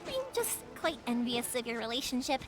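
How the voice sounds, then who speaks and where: A cheerful young woman fireworks maker with a bright, high voice that swings up and down lively. She sounds friendly and reassuring, with game music playing behind her. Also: moderate pace. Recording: game audio with background music.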